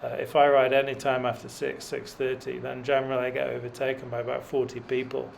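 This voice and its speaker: Middle-aged man, dry self-mocking vlogger, gravelly tone, cycling outdoors. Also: mid-range voice, completely flat delivery, fast, slight background noise. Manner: dry self-deprecating humour, many fillers. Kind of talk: rambling self-deprecating commentary while riding. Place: outdoors on a mountain road.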